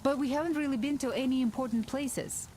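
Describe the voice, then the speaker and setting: A woman's voice: sits high, fairly quick, darkish tone, close to an everyday voice. An actress playing an uncertain civilian mother, polite and hesitant, in a video game. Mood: unsure. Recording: clearly noisy background.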